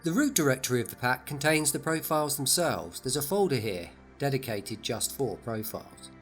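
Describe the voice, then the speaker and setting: A man narrating a scripted promotional overview at a mid pitch. He explains each feature in an upbeat, enthusiastic tone. Light music plays underneath him. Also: normal speed, a bit bright. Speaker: product narrator.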